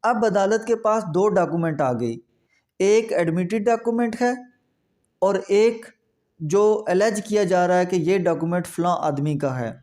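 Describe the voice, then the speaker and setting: A Pakistani man lectures in a notably high voice for a male speaker, with a soft, mellow tone. He explains methodically, one step after another, restating each point as a legal instructor would.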